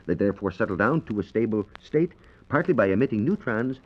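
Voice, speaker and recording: A man's voice: fairly low pitch, expressive, fairly quick, breathy edge. An American man working as a science reporter, explaining things precisely and didactically in the manner of 1940s radio. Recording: muffled vintage 1940s radio recording.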